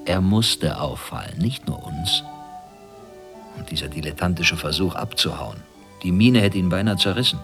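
A very low, menacing interrogator. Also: male voice, music in the background. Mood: smug, menacing. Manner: insinuating, deliberate. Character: smug, menacing male former East German secret-police interrogator. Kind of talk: German radio play acting.

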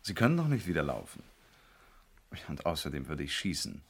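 A smug gangster in a 1970s radio crime play. The voice is deep and gravelly. He issues threats and orders with mocking courtesy. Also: big pitch swings, brisk, full character voice. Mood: smug, menacing. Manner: mocking, falsely courteous, commanding. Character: smug, mockingly polite male gangster with a revolver. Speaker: actor playing the villain. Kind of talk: 1970s German radio crime drama acting.

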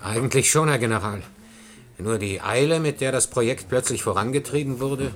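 An actor playing a wary, principled inventor and teacher in a German science-fiction radio drama, speaking in a measured, probing, skeptical way. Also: male voice, mid-range voice, a bit bright, lightly acted.

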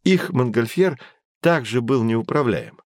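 An actor playing a knowledgeable, patient guide who teaches children in an educational audio drama, with a didactic, emphatic delivery. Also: male voice, mid-range voice, expressive, unhurried, dry recording, studio quality, close to an everyday voice. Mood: patient, explanatory.

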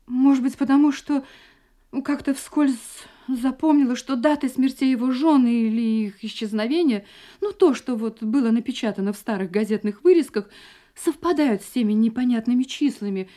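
Anxious high-voiced wife, played by an actress. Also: female voice, mellow, lightly acted. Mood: anxious, confessing. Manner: agitated, halting, dramatic. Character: frightened young wife recounting her escape from a murderous husband. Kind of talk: Russian audio drama acting.

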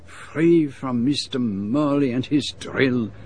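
Actor as slow, fussy detective narrator. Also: male voice, mid-range voice, darkish tone, faint music behind, close to an everyday voice. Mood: relieved, wry. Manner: measured, dramatic, wryly self-amused storytelling. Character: fussy, dentist-fearing male detective narrating his own story. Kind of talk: radio drama narration and dialogue.

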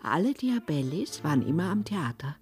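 An earnest, demanding middle-aged aunt in a radio play. She speaks emphatically in a lowish voice with big swings in pitch, over clear music. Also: very animated, moderate pace, darkish tone. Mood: proud, insistent. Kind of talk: radio drama acting.

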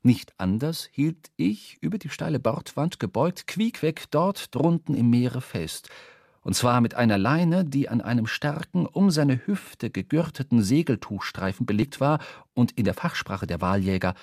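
A man narrating a literary radio drama from a script, storytelling in measured, formal diction. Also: medium pitch, lively intonation, gravelly, a little mellow, no room sound, studio quality. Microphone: close studio microphone.

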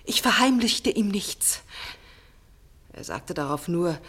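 An actress playing a shaken, earnest daughter in a German radio play, with deliberate dramatic phrasing. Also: female voice, on the low side, animated, a bit bright, husky, heavily acted. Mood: agitated, earnest. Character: Maura, a shaken, earnest daughter recounting grim events.

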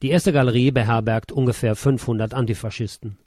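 A man's voice: mid-range voice, fairly even intonation, fairly quick, darkish tone, no room sound. Sober male memoir narrator, dry studio sound. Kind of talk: scripted first-person narration.

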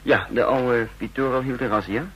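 A man's voice: mid-range voice, steady tone, normal speed, husky, dull audio, close to an everyday voice. An actor playing a shrewd male counterintelligence chief who also narrates the radio drama, speaking with dry, self-assured irony. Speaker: narrator and lead character. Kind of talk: vintage radio drama acting.

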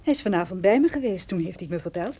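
A woman's voice: medium pitch, lively intonation, very fast, warm tone, breathy edge. An actress playing a devoted, protective woman lawyer in a radio drama. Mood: assertive. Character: devoted, protective female lawyer, loyal to detective.

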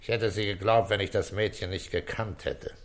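A man playing a low-voiced, shrewd police inspector. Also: steady tone, lightly acted. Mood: calm, reasoning. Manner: deliberate, authoritative, explaining step by step. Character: calm, shrewd senior police inspector, weary and paternal. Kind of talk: old German radio crime drama acting.

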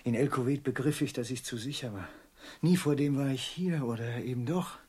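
An actor playing a brooding, feverish traveler in a classic German radio drama, questioning in a grave, insistent and deliberate manner. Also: male voice, medium pitch, mellow, breathy edge, natural voice. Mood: urgent, insistent. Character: brooding, feverish male traveler searching for a hidden truth.

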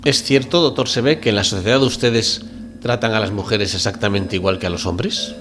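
Man playing an earnest, hesitant low-voiced doctor. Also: brisk, fairly bright tone, a little hiss or hum. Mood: polite, uneasy. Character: earnest, conventional male doctor, deferential and flustered. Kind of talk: radio drama reading.

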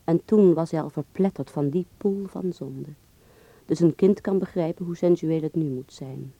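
A mellow, teasing young letter-writer. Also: female voice, low voice, moderate pace, no echo. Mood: teasing, wistful. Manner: teasing, intimate, chatty. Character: teasing young Dutch woman, a beautician writing letters. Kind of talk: radio drama letter monologue.

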